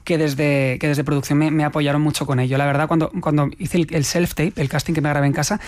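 A man with a Spanish accent from Spain, chatting in an expressive, colloquial way as an interview guest. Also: a little high, quick pace. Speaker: guest actor being interviewed. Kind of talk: casual interview chat.

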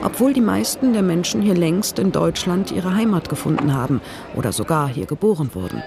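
Even-toned documentary narrator. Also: medium pitch, a little fast, gravelly, crowd noise. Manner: even, informative reading.

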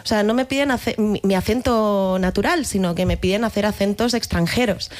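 A woman's voice: medium pitch, a little fast. Woman, actress, from Catalonia, interview, frank, reflective. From Catalonia, Spain. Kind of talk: conversational interview answers.

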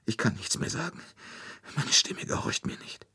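A man's voice: moderate pace, pushed and bright, lightly acted. A man voicing a timid, grieving Swedish woman, a former nanny, tearful and with a failing voice, in an audiobook story. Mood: tearful, anguished. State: crying, voice failing.

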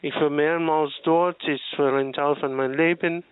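A man's voice: a little high, steady tone, normal speed, clear, resonant tone, no room sound. An older man from New York, a native English speaker with an American accent, recounting a personal memory to radio. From New York, United States. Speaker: radio listener sharing a memory. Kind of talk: spoken personal recollection. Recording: narrow dry phone line.

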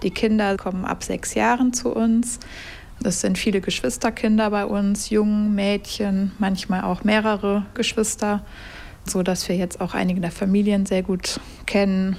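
A woman's voice: mid-range voice, little pitch movement, moderate pace, vocal fry, a little mellow. A woman who co-founded a rugby project, telling its story with enthusiasm. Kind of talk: interview storytelling.

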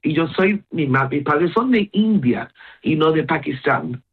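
A woman's voice: sits low, measured pace, crowd noise. Gibraltarian woman on a tinny line. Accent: Gibraltarian Llanito. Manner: switches between Spanish and English mid-sentence, lively and talkative. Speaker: interviewee. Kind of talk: casual conversational interview.

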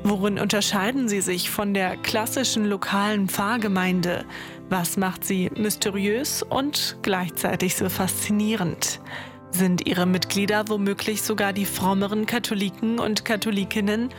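Female documentary narrator, scripted delivery, mid-pitched voice, music clearly underneath. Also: steady tone, unhurried.

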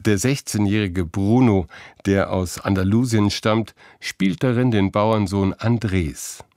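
A man narrating a news report in a measured, even voice-over. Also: lowish voice, dry recording, studio quality. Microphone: studio voice-over.

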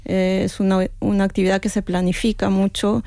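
A woman's voice: medium pitch, level tone, a little fast, dark tone, no echo, studio quality. A woman, interviewed as an author, talking reflectively with hesitations and frequent "¿no?" tags. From Peru. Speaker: author interviewed about her book. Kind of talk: conversational interview.

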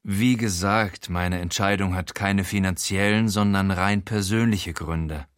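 A man's voice: deep voice, steady tone, unhurried, clear, resonant tone, no room sound, clean studio sound. A man voicing an unflappable gardener-enforcer in an audiobook, courteous in tone but firm and formal. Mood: politely firm.

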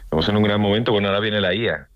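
A man with a very low voice and a mixed Andalusian, Castilian and Mexican accent, chatting in an interview. He tells his stories with animated energy and acts out what others said. The sound is close and dry. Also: rapid-fire, no room sound, highs cut off. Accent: mixed Andalusian, Madrid Castilian and Mexican Spanish. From Andalusia, Spain. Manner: animated storytelling, acts out quoted dialogue. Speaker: actor, interview guest. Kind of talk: casual interview chat.

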